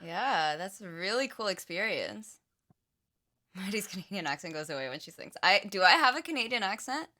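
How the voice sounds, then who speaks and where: Giggly woman co-hosting a music stream, bright voice, playful. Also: mid-range voice, big pitch swings, normal speed. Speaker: co-host reviewing music. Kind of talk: casual stream chat.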